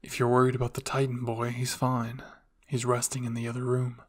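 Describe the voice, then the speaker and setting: A man's voice: mid-range voice, monotone, fairly quick, lightly acted. A man voicing a blunt military captain who scolds curtly but secretly cares, in an anime fan fiction roleplay. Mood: awkward, softened. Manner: curt, scolding, awkwardly tender. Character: gruff, blunt soldier captain, secretly caring.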